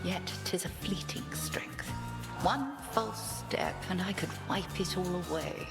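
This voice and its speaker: A woman voicing an imperious witch mentor in a video game, speaking in a formal, measured, archaic manner. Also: on the low side, expressive, gravelly, over loud music, full character voice. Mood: stern, testing. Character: imperious, testing witch mentor with commanding poise.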